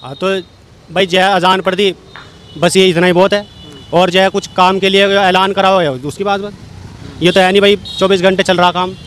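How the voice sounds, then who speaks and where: A man from the public giving informal, explanatory answers in a street interview, haltingly and with repeated phrases. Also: sits high, level tone, a bit bright. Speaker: member of the public being interviewed. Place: outdoors on a street. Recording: faint street and traffic noise.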